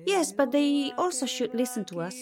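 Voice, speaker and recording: An actress playing an earnest, idealistic young person answering directly in a radio play. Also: female voice, high-pitched, big pitch swings, normal speed, music in the background.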